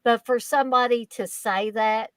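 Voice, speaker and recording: Kentucky-accented woman with a higher voice. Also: normal speed, no room sound, studio quality. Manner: earnest, effusive, repeats herself when excited. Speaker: podcast guest. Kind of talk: casual storytelling chat.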